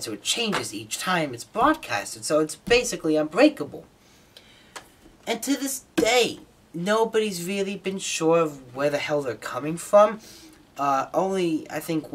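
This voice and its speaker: A man giving a casual, unscripted monologue as a hobbyist host, informal and opinionated in his way of talking. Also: on the high side. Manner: informal, opinionated, mildly swearing. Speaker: shortwave radio hobbyist host.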